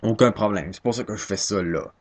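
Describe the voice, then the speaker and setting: Rambling young Québécois man, lowish voice. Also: brisk, a little mellow. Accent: Québécois French. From Quebec, Canada. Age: 19, young adult. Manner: self-deprecating, hesitant, many restarts. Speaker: first-time vlogger. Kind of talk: casual rambling webcam talk. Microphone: webcam.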